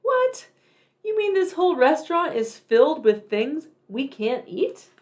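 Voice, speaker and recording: A grumpy storybook monster, acted out by a woman, always hungry and full of complaint. The delivery is blunt and exclaiming, with big swoops in pitch. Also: big pitch swings, unhurried, darkish tone. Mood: hungry, menacing. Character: grumpy hungry many-headed monster who hates books.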